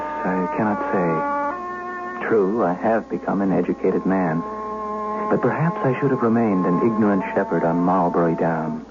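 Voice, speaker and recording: Deep, haunted former shepherd. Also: male voice. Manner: brooding, dramatic, regretful. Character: haunted, educated former shepherd telling his tale. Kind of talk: first-person radio drama narration. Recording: muffled old radio with loud music.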